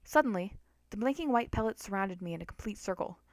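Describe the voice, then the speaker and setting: A woman reads first-person fiction aloud with expressive, dramatic delivery. Her voice sits at a medium pitch. The sound is dry and close, with no room around it. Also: fast talker, no echo. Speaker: narrator of a fan story.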